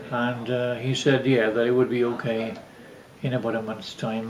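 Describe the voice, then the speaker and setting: A man's voice: medium pitch, a little mellow. Older man reminiscing, roomy sound. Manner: halting, rambling storyteller. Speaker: father telling family stories. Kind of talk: casual reminiscing.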